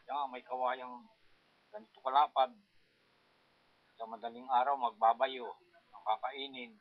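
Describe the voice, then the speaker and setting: A man's voice: mid-range voice, steady tone, unhurried, narrow, tinny audio. An older man, reminiscing casually in a rambling, colloquial, demonstrative way. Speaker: farmer recalling his working life.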